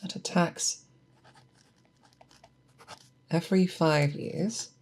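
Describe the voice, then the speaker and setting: Woman doing a seasoned monster hunter teacher, asmr roleplay, real slow and breathy, patient, asks questions, close mic. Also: on the low side, a little mellow. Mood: explanatory, musing. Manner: deliberate, instructive, questioning, thoughtful pauses. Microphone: close binaural microphone.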